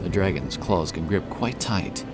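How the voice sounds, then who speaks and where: Deep-voiced man narrating dramatic fantasy. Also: expressive, measured pace. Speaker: audiobook narrator. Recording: loud epic music behind the voice. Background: loud epic music.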